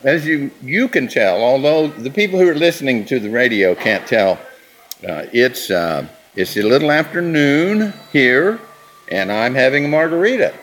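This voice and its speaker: A man hosting a radio interview on location in a restaurant, asking questions in a relaxed, chatty, joking way. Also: mid-range voice, moderate pace, slight background noise. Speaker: radio host.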